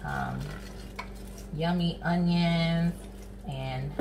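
Low-voiced chatty woman, lilt. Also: wildly swooping pitch, very slow. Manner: chatty, folksy, calls viewers honey and sis. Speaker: cooking vlogger. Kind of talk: casual cooking demo. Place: home kitchen, indoors. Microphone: camera nearby, speaker off to the side. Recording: kitchen with frying noise. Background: sizzling pan and stirring.

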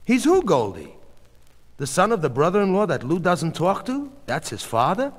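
Man doing a nosy, high-voiced older neighbour woman. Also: wildly swooping pitch, normal speed, heavily acted. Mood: prying. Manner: inquisitive, opinionated, clipped folksy sayings. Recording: long hall reverb.

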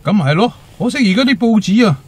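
A man's voice: sits high, lively intonation, fast, darkish tone, breathy edge, no echo. An actor playing a talkative, timid male waiter with a Hong Kong accent and comic exaggeration in a radio drama. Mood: animated explaining. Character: chatty, timid young male teahouse waiter, eager joker.

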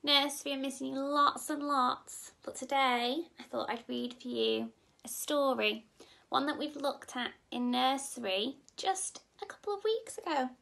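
Young female nursery teacher for story time: gentle, expressive delivery to little ones, very unhurried, with noticeable vocal fry. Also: high voice. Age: young adult. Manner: expressive, gently addressing small children. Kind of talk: children's story reading. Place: indoor room at home.

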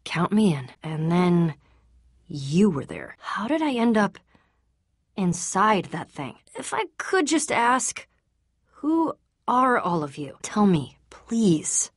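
An actress playing a brave, plucky young woman warrior in a video game, dazed and forgetful, halting as she searches for memories. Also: medium pitch, sing-song, breathy edge, no echo, studio quality, heavily acted. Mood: earnest, tired. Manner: halting, searching for memories, then bursting out eagerly.

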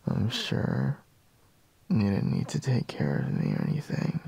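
A man playing a sleepy, grumbling boyfriend in an intimate ASMR roleplay, murmuring in a breathy, husky half-whisper close to the microphone. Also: fairly low pitch, huge pitch swings, unhurried, mellow, heavily acted. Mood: teasing, mildly exasperated. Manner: drowsy, drawling, murmuring with sighs. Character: sleepy, grumbling, teasing young boyfriend woken in bed. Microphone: close microphone, dry.

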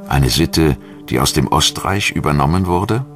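A man's voice: a bass voice, animated, measured pace. A man reading scripted documentary narration as the narrator. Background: background music.

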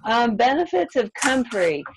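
A middle-aged woman, answering audience questions in a friendly, chatty, self-deprecating way. She is losing her voice and clears her throat now and then. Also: a little high, sing-song, unhurried, slight background noise. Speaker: extension weed scientist answering audience questions. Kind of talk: casual Q&A at end of webinar. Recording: dry, slightly dull webinar audio.

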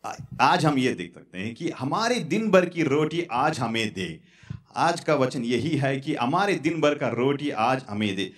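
A man in his thirties preaching a sermon on a church stage into a handheld mic, halting and repetitive. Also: medium pitch, very animated. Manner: halting, repetitive, fillers and restarts. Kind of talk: sermon with prayer. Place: church stage in front of curtains.

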